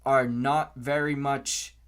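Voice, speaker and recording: A man in his twenties with an American accent, giving an earnest, unscripted opinion monologue in a deliberate way, repeating phrases for emphasis. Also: mid-range voice, little pitch movement, a bit bright, smooth. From Canton, Massachusetts, US. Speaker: podcast host.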